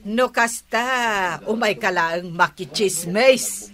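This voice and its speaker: An actress with an Ilocano Filipino accent playing an indignant, gossiping neighbour who scolds and exclaims in a radio comedy drama. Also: female voice, mid-range voice, slow, fairly bright tone.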